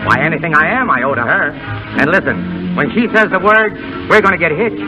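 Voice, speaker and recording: Guy playing a cocky burlesque clown, 1930s radio, high voice, rattles off slangy wisecracks fast. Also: lively intonation, close to an everyday voice, low-quality recording. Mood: fond, earnest. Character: brash, carefree wisecracking burlesque comic, a man. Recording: vintage 1930s radio broadcast, background music.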